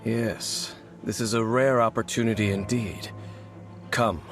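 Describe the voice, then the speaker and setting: A man's voice: lowish voice, fairly even intonation. A composed, grave game swordsman. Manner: measured, formal, stoic. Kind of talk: video game voice acting. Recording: clear music behind. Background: game music.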